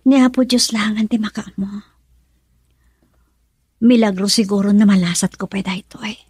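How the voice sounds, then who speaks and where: Sick young romantic heroine for Ilocano radio drama: soft, breathy, halting, sorrowful but tender, dry close sound. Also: female voice, mid-range voice, fairly even intonation, no echo. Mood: tearful farewell. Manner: soft, halting, emotional delivery, often near whisper. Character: seriously ill young woman, tender, resigned and sorrowful in love.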